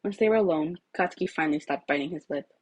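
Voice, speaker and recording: Woman reading fanfic aloud, kinda low husky voice, expressive. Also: fast talker, muffled recording. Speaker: fan fiction reader. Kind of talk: expressive narration of a story.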